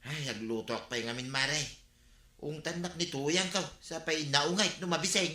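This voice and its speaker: A man's voice: fairly high pitch, moderate pace, bright, forceful tone, echoey room. A man with an Ilocano accent playing a lazy, grumbling idle village husband who whines and argues in a radio comedy drama.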